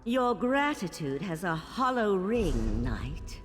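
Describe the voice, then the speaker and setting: A woman's voice: on the low side, very animated, slow pace. An actress playing a dry, sardonic female adventurer in a fantasy video game. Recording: long reverb, noisy ambience behind.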